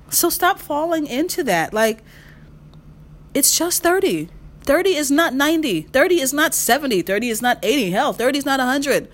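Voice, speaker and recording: Woman in her thirties, solo podcast host, emphatic and repetitive, animated pitch, mid-range voice. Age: 30s. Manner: emphatic, repeats key phrases, calls listeners honey. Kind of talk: solo advice monologue.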